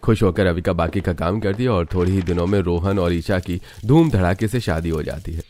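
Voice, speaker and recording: A man's voice: deep voice, fairly quick, darkish tone, a little hiss or hum. A man narrating a scripted cartoon family story.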